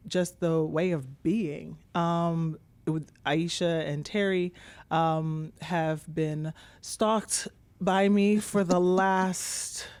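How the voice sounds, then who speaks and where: A woman's voice: low voice, no echo. A woman co-hosting a conversation podcast, hesitant and full of ums as she introduces her guests. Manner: hesitant, many ums, warm welcoming. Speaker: podcast co-host.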